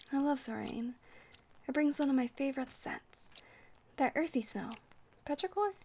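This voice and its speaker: A woman playing a friendly vampire bartender who rambles gently and whimsically in an ASMR roleplay. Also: a little high, slightly rough. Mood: dreamy, wistful.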